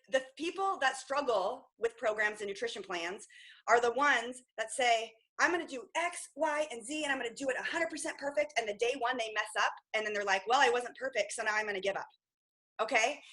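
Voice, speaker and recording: A woman nutrition coach giving energetic, upbeat advice on a group call, often checking in with the listeners. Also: on the high side, rough recording.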